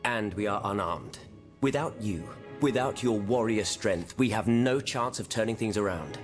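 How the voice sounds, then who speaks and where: An actor playing a solemn, persuasive revolutionary in a video game, measured and formal. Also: male voice, on the low side, close to an everyday voice. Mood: grave. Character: grave, solemn French revolutionary leader, discreet and persuasive. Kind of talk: video game cutscene acting. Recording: game music behind.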